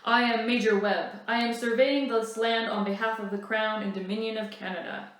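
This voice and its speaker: A woman's voice: a little high, steady tone, moderate pace, very echoey, close to an everyday voice. A woman voicing a pompous, indignant male army major in a read-aloud story, speaking in a blustering, official way. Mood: officious.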